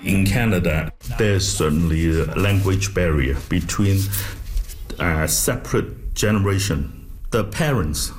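A man who teaches Cantonese, speaking in an interview in a halting, plain-spoken way. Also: sits low.

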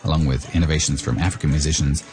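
A man hosting an interview, asking measured questions and reading short narration. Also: extremely low pitch, steady tone, loud music behind the voice. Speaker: host.

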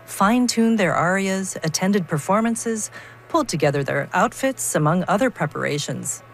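A woman hosting a radio broadcast, reading scripted announcements in a polished, measured way. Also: fairly low pitch, slight background noise.

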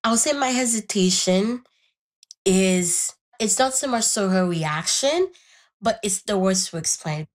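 A woman's voice: medium pitch, lively intonation, a little slow. A young woman from Haiti, a native Haitian Creole speaker, reflecting candidly and thoughtfully on her personal life as an interview guest. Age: young adult. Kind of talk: reflective personal storytelling in conversation.

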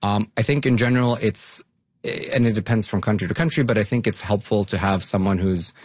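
A man from Bosnia-Herzegovina with a fairly low voice, speaking as an academic guest in an interview. He talks informally and conversationally, softening his points as he goes. The sound is very dry, with no room around it. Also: a little fast, no echo. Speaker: academic guest, longtime fan of the topic.

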